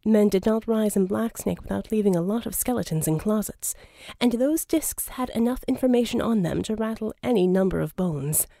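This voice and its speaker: A woman narrates an audiobook in a soft, mellow voice at a medium pitch. The sound is close and dry, and the delivery is scripted storytelling. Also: normal speed, vocal fry, hardly any pauses, dry recording.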